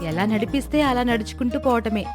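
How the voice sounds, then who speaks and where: Modest, devout wife for folktale, read by a woman; calm, respectful, higher pitch, music bed. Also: a little fast, close to an everyday voice. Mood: calm, sincere.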